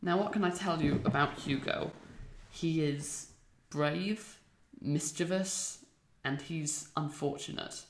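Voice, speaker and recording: Man, creaky voice, measured interview talk, roomy echo. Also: fairly high pitch. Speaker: amateur audio drama actor. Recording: echoey, roomy sound.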